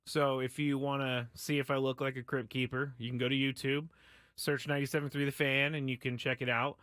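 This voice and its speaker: A man's voice: medium pitch, little pitch movement, brisk, a bit bright, no echo. A man hosting a sports talk radio show, speaking in a casual, candid, self-deprecating monologue. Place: radio studio.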